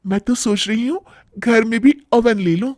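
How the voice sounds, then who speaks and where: Guy doing a whiny sweet young wife for a cartoon, super high voice, stretchy coaxing words, dry sound. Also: normal speed, darkish tone, no room sound. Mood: eager, coaxing. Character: young pizza-obsessed wife, sweet, whiny, naively greedy. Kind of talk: animated Hindi moral-story cartoon acting.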